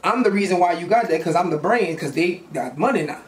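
A man's voice: sits high, animated, fast talker. A middle-aged man talking casually in a small studio, blunt and preachy with streetwise slang. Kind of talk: casual conversation. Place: small studio room with purple lighting. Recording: roomy, distant with faint hiss.

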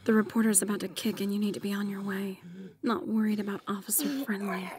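A woman playing a guarded, cynical female agent in an audiobook, with a cool, hard-edged and often hushed delivery. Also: medium pitch, fairly even intonation, fast, natural voice. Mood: stern, self-chiding. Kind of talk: dramatised audiobook acting.

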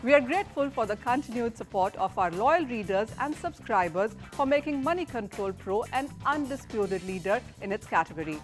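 Female presenter, Indian accent, higher-pitched, scripted promotional read over a music bed. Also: expressive, brisk, hardly any pauses. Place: TV studio. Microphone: lapel mic. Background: background music.

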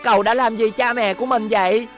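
A woman's voice: fairly high pitch, fairly even intonation, a little fast, soft background music, natural voice. A woman voicing a brave, kind young robot boy hero in a cartoon dub, earnest and determined. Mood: defiant. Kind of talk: cartoon dubbing.

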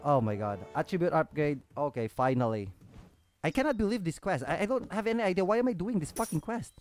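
A man's voice: on the high side, lively intonation, fast, darkish tone. A man hosting a gaming video with casual, off-the-cuff commentary, swearing in an exasperated, sarcastic way. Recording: music behind the voice.

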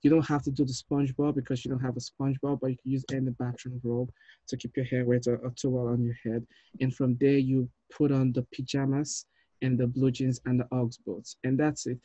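A man in his thirties leading an informal meeting as a film director, giving halting instructions over a video call. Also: medium pitch, level tone, dark tone, no echo. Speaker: short film director leading a table read. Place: indoor room, on a video call. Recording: dull, muffled video call audio.

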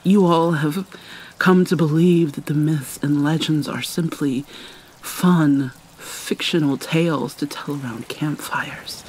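A woman voicing a guarded, wounded vampire mermaid in an ASMR roleplay, speaking in a hesitant, halting, hushed and often breathy way. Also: low voice, fairly even intonation, a little hiss or hum, low-quality recording. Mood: wistful, ominous. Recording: rain and water sounds behind. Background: rain and pouring water.

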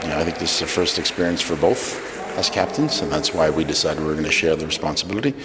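A middle-aged man, a head coach, giving a measured, halting post-game interview into a handheld mic. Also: very deep voice, heavy background noise. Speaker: ice hockey head coach.

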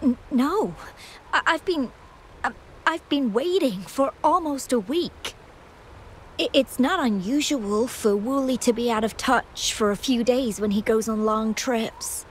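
A woman plays a soft-spoken young game heroine, high and light in tone, who is always worried about someone she loves. She speaks slowly and stumbles over her words, with faint room ambience behind her. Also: a little hiss or hum, natural voice. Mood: anxious. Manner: hesitant, stammering. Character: young woman, gentle and anxious sweetheart. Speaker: game character. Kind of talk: game cutscene voice acting.

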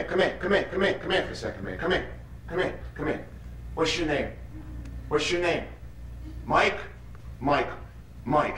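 An actor playing a menacing, mocking street gang leader in a theatrical monologue, badgering and repeating himself. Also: male voice, mid-range voice, a bit bright, natural voice. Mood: cocky, pestering. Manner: badgering, repetitive, punctuates lines with "huh?". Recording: echoey room, distant mic, noisy.

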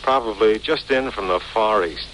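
An actor playing a skeptical, gruff male police detective, terse and dry, in 1940s radio style. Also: on the low side, normal speed, a bit bright, natural voice. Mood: musing. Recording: noisy vintage 1940s radio recording.

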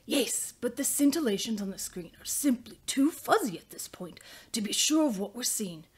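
A woman's voice: on the high side, expressive, normal speed, breathy edge, voice pushed up. A woman voicing an exacting, emphatic male physicist with an attempted New Zealand accent. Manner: emphatic, urging.

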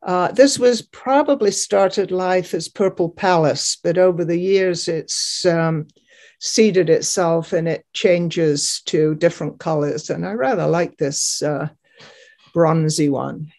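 Older woman, gardener presenting slides on a video call. Slow, chatty, hesitant, informal delivery. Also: lowish voice, a little mellow. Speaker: gardener giving a garden tour. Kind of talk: informal narration of a photo slideshow. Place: at home indoors, on a video call. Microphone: computer microphone on video call.

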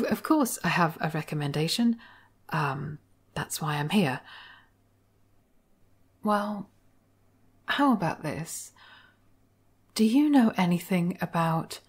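A woman acts out an obsessive female therapist in an audio roleplay. She is slow and breathy, slightly low in pitch, often slipping into whispers. Her manner is soothing and coaxing, with a sly, controlling edge. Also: exaggerated voice. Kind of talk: intimate scripted ASMR roleplay, addressed to the listener.

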